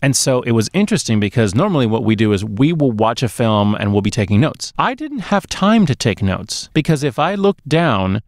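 A man's voice: medium pitch, sing-song, moderate pace, few pauses, dry recording. A middle-aged man co-hosting a podcast, chatting casually about movies in an emphatic way, close to the mic. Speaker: podcast co-host. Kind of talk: casual movie review chat. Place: small dark sound-treated booth. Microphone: close podcast mic on boom arm.

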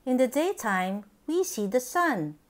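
A woman with a fairly high voice reads a children's picture book aloud. Her delivery is very slow and deliberate, with lively, swooping intonation meant for toddlers. Each phrase is set out simply and expressively. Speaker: children's book reader, channel host.

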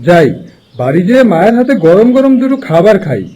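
A man's voice: sits high, big pitch swings, a little fast, crowd noise. A man voicing a humble, earnest young village cowherd in a fairy-tale cartoon. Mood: cheerful, hungry.

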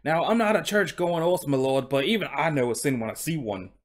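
A man's voice: fairly high pitch, lively intonation, fairly quick, close to an everyday voice. A man voicing a proud, chatty, aggrieved talking London coach horse in a put-on Cockney accent, with deferential but boastful patter. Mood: indignant. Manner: colloquial, deferential, boastful working-class patter.

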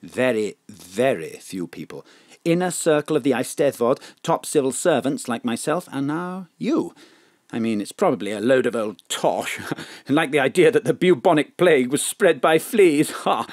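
An actor playing a furtive, fussy top civil servant, conspiratorial and animated in a comic audio drama. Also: male voice, medium pitch, moderate pace, no room sound, clean studio sound, natural voice.

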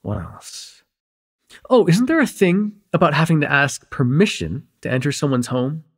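Man acting a breathy, cocky young male vampire. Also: fairly high pitch, sing-song, normal speed, full character voice. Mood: musing, playful. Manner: rambling, playful, slangy, hesitant asides. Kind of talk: immersive ASMR roleplay acting, casual and conversational.

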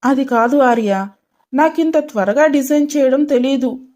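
A woman's voice: high voice, measured pace, darkish tone. A woman playing a spoiled, jealous and hot-tempered young fiancée in a dramatised story, petulant and indignant. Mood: defensive.

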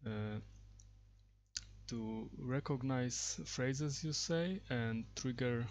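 Halting man with a creaky voice. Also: medium pitch. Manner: hesitant, halting. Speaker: app developer demonstrating software. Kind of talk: unscripted software screen demo.

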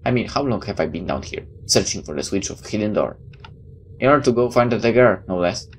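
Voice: medium pitch, lively intonation, fairly quick. A gameplay host reading visual novel text aloud expressively and adding casual comments. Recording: clear background noise.

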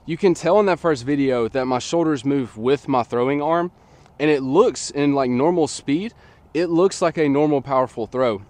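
A young man in his twenties, slightly nasal from a sinus infection, explaining a sports technique in a relaxed, chatty way outdoors, recorded by a camera at a distance in an open field. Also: on the high side, moderate pace, dry recording. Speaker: disc golf coach. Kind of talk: casual instructional talk. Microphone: camera on a tripod at a distance.

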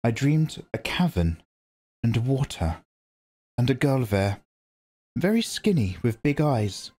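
A man's voice: medium pitch, big pitch swings, slow, mellow, pitched above the performer's own voice. A man reading aloud and voicing a wary, serious teenage boy who answers in a plain, guarded way. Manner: plain, guarded, matter-of-fact.

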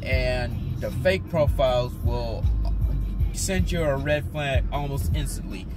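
A man vlogging from inside a moving car in a rambling, halting way, full of "um" and "uh". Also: medium pitch. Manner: rambling, halting, heavy on fillers. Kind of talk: casual talk while driving. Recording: loud music behind the voice.

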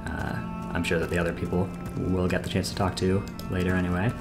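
A man's voice: low voice, little pitch movement. A young man hosting a game playthrough, reading character dialogue aloud in a plain voice and then chatting casually about his choices. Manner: reads character lines plainly, then chats casually with pauses and fillers. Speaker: let's play host. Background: game music.

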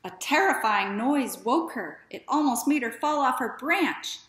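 A woman in her thirties reading a children's story aloud in a deliberate, expressive way. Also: high voice, slight background noise. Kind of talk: children's read-aloud narration. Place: small living room, indoors. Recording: echoey living room.

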